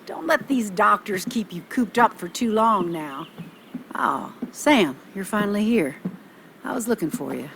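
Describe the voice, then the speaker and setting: A woman's voice: mid-range voice, lively intonation, a little slow, gravelly, fairly bright tone, slight background noise. An actress playing a motherly older small-town policewoman in a video game, folksy and reassuring.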